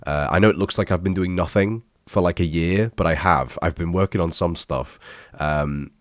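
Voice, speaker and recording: Guy, super low voice, bunged up from a cold, rambly and self-mocking, swears a bit, dry close mic. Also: moderate pace, dry recording. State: congested, bunged up from illness. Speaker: youtuber reacting to his old videos. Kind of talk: casual reaction commentary.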